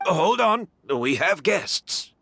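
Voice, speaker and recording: Modest genial old master, bright forceful tone, lively intonation, video game dialogue. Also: male voice, high-pitched, slow pace, slight background noise, exaggerated voice. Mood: composed. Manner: genial, self-effacing. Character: kindly, modest older male perfume master.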